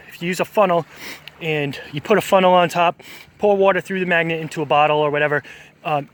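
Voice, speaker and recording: A man's voice: fairly high pitch, a bit bright, slight background noise. A man, vlogging outdoors on a beach in a rambling, hesitant way, full of fillers and self-corrections. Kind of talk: casual walking vlog monologue.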